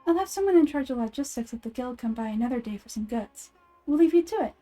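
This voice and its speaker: A woman voicing a gentle, thoughtful young girl in fan-made fantasy game dialogue. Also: high-pitched, animated, quick pace, soft background music. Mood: polite, friendly. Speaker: amateur voice actor.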